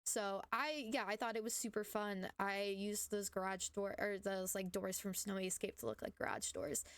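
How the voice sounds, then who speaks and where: Hoarse young woman rambling casually. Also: mid-range voice, normal speed, no echo. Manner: rambling, many fillers and self-corrections. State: hoarse from illness. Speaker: gaming channel host. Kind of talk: casual voice-over commentary on gameplay.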